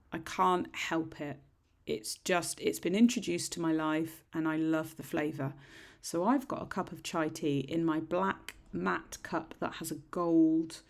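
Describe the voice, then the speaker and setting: A middle-aged woman with a husky, slightly croaky voice, chatting casually through a nail tutorial in a rambling, self-deprecating way. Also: low-pitched. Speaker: nail technician. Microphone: desk microphone.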